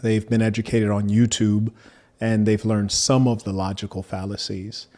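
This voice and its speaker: A man hosting a debate, speaking in a measured, formal manner and asking probing questions. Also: on the low side, level tone, a little mellow. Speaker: debate host.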